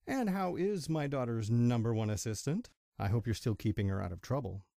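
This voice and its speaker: A man's voice: medium pitch, animated, fairly quick, gravelly, warm tone, no echo, studio quality. A man playing a kindly middle-aged stallion father in an amateur audio drama, folksy and affectionate. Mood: warm, jovial.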